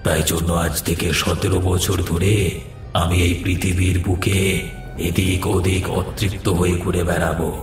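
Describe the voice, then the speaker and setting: A man's voice: sits low, little pitch movement, normal speed, close to an everyday voice. A man voicing a menacing, commanding jinn, a restless spirit, in a horror cartoon. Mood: brooding. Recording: echoing voice over background music.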